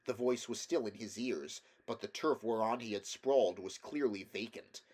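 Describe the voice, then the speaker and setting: A man narrating a children's animal story as an expressive audiobook reader. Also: lowish voice, moderate pace.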